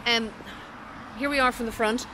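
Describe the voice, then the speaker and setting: A woman's voice: fairly high pitch, fairly even intonation, moderate pace, sharp tone, a little hiss or hum, rough recording. An Irish woman in her forties, an estate agent chattily explaining a property as she walks through it. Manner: chatty, explanatory, talking while walking. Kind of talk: informal property walkthrough narration. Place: inside empty commercial unit, large bare rooms.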